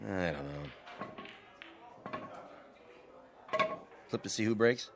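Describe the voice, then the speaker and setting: An actor with an American accent playing an easygoing but wary traveling pool player in a radio drama. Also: male voice, fairly low pitch, animated, very slow, a little hiss or hum. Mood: wary, amused.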